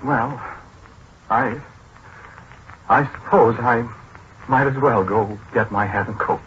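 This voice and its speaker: An American actor playing a gentle, disgraced scientist in a 1940s radio drama, hesitant and apologetic, with self-pity in his delivery. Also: mid-range voice. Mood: hesitant, downcast. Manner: hesitant, halting, self-pitying. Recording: muffled, noisy 1940s radio recording.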